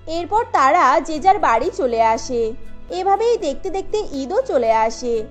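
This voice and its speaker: Female story narrator: scripted delivery, extremely high pitch, music bed. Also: very animated, measured pace.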